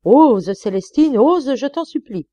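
A woman puts on a peevish, theatrical husband who whines and sneers, his voice pushed higher and louder than the narration. The sound is dull and close, with no room around it. Dark, mellow tone throughout. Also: animated, unhurried, no room sound. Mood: pleading. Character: peevish snobbish middle-aged husband. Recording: slightly muffled dry recording.

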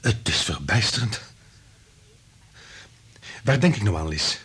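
An actor playing an ambitious, excitable scientist in a Dutch radio drama, impatient and insistent. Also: male voice, fairly low pitch, slow pace, husky, full character voice. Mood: amazed, excited.

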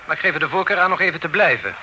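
An actor playing an obstinate, unwelcome witness who talks in an insistent, needling way. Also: male voice, a little high, animated, racing, sharp tone. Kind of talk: radio play acting. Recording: noisy old radio drama recording.

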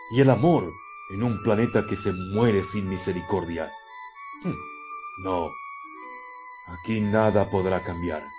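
Man acting a brooding, scornful Martian man, swooping delivery. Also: lowish voice. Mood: bitter, defiant. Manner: theatrical, brooding monologue. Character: bitter, ruthless Martian man, scornful of peace and love. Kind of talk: radio drama acting. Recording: loud music under the voice.

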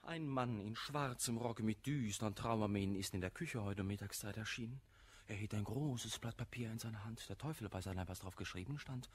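An actor playing a flustered, scatterbrained and timid manservant in a classical verse radio comedy, dithering and hesitant. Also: male voice, lowish voice, brisk, husky. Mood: rambling, uneasy.

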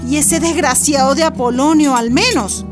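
A woman's voice: high voice, normal speed, music in the background, lightly acted. A woman playing a desperate, devout and confused mother in a radio drama. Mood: anguished.

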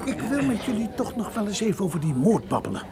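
Folksy male radio-drama café owner, very lively intonation, gravelly, garrulous, excitable, crowd murmur behind. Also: high-pitched, fast. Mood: chatty. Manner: garrulous, colloquial storytelling.

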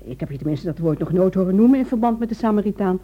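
An actress playing a worried, loving wife, tender and probing, in a radio play. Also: female voice, medium pitch, lively intonation, very fast, natural voice. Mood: tender, concerned. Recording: dull, lo-fi old radio recording.

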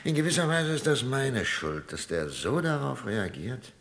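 An actor playing a jovial, insistent boss hosting guests in a crime radio play, coaxing and emphatic. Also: male voice, medium pitch, big pitch swings, moderate pace, gravelly, a bit bright. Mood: concerned, hushed. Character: jovial, insistent male host and boss, Herr Bergmann.

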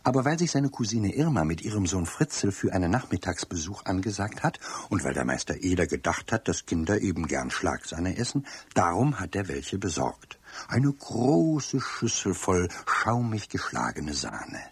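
An actor narrating a children's radio play as an expressive storyteller, with a deep, husky voice. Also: male voice, moderate pace, no echo, heavily acted. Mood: confiding, amused.

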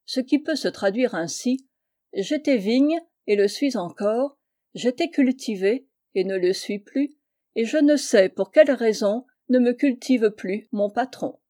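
A woman reading a literary historical story aloud as scripted narration, in a measured storytelling manner. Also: on the high side, expressive, warm tone. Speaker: audiobook reader.

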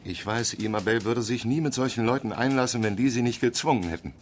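A man's voice: mid-range voice, quick pace, slight background noise, close to an everyday voice. An actor playing a naive, devoted and meek man in a radio crime drama, deferential and earnest in his speech. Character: meek, naive, devoted male suspect in love.

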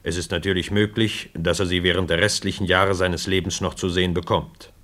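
A man narrating a radio play from a script in a measured, matter-of-fact way. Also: low-pitched, little pitch movement, a bit bright.

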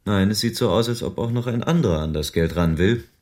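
A man's voice: on the low side, expressive, a little fast, darkish tone, close to an everyday voice. An actor playing a sober, world-weary male private detective in a radio crime drama, explaining things patiently. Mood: sober concern.

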